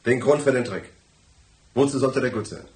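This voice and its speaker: A man's voice: medium pitch, moderate pace, lightly acted. Man playing a brusque, domineering man, echoey room. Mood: brusque, demanding. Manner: forceful, brusque, demanding. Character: domineering, impatient man cornered in a crime plot. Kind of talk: German radio crime drama acting.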